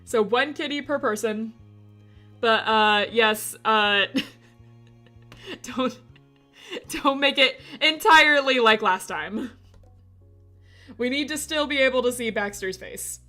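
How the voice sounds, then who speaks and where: A woman streamer with a slightly hoarse voice, chatting casually and giggling as she talks to her chat. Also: fairly high pitch, sharp tone.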